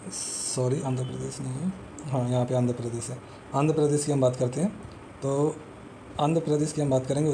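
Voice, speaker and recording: A man explains exam memory tricks, walking through a little story step by step and checking in with the listener again and again. His voice is warm and mellow at an ordinary pitch. A faint hiss sits under the recording. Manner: repetitive, peppers talk with "theek hai" and "hai na". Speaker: exam coaching teacher. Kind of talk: casual explanatory lesson with mnemonic tricks.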